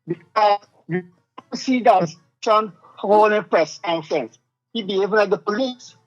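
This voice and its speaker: A man's voice: high-pitched, unhurried. A man holds his ground in a loose political debate, answering firmly. His sound is dull and lo-fi, with faint music underneath. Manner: firm, argues back.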